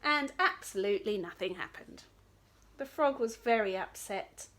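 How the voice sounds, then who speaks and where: A woman storyteller giving an expressive reading of a children's story. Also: medium pitch, moderate pace.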